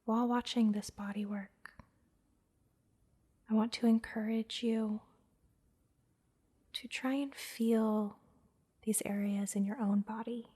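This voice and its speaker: A woman leads an ASMR guided meditation in a very breathy whisper. Her delivery is slow and even, with little movement in pitch. She gives soft, soothing instructions and leaves space between them. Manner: gentle, soothing, instructing softly with pauses. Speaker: massage therapist and meditation guide, host.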